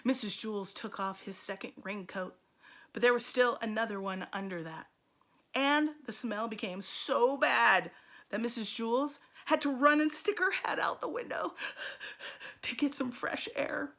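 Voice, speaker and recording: A woman teacher reading a children's storybook aloud, expressively and with deliberate dramatic emphasis. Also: a little high, a bit bright.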